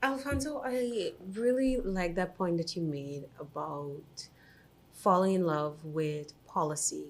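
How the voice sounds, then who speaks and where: A woman's voice: lowish voice, measured pace. A woman co-hosting a podcast interview, asking questions in an earnest, engaged way. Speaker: podcast co-host.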